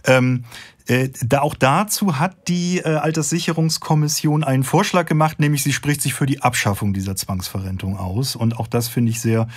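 Measured man full of hesitant fillers. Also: medium pitch, fairly even intonation. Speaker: expert guest. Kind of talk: radio discussion.

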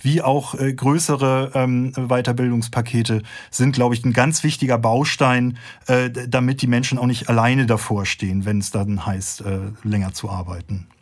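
Man, radio guest expert, measured, hesitant, many fillers. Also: medium pitch, steady tone. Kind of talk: radio discussion.

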